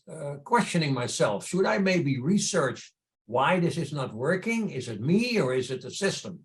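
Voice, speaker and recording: Older man, Dutch accent, fairly high voice, conversational storytelling, interview guest. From Netherlands. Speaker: podcast guest, entrepreneur and charity founder.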